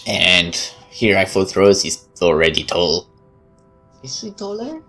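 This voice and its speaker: A man's voice: deep voice, huge pitch swings, slow pace, pushed and bright, faint music behind. A man hosting a let's play, reading a visual novel aloud and adding casual off-the-cuff commentary. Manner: off-the-cuff remarks between read passages.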